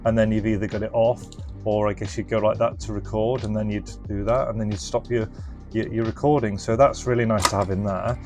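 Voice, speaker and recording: Low-pitched man giving a casual demo. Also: steady tone, brisk, a little mellow, soft background music. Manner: explanatory, informal, thinking aloud as he demonstrates. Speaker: presenter and reseller of restored retro electronics. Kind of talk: casual hands-on product demonstration.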